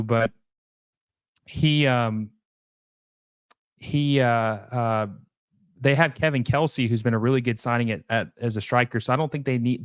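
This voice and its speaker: A man's voice: medium pitch, no room sound. Rambling man chatting on a live stream. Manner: rambling, many fillers and restarts. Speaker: livestream host. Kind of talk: casual live stream chat.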